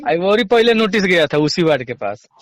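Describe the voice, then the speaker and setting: A man with a Bihari accent chatting casually on the phone as a caller, deferential and quick to agree. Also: high voice, big pitch swings. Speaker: caller with a grievance.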